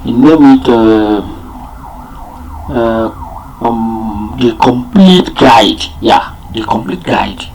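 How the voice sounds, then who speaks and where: Male presenter, first language Yoruba, slow and halting, repeats himself, faint background noise. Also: medium pitch, darkish tone, low-quality recording. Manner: halting, many fillers and restarts; repeats key points. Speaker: program presenter. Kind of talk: unscripted spoken talk.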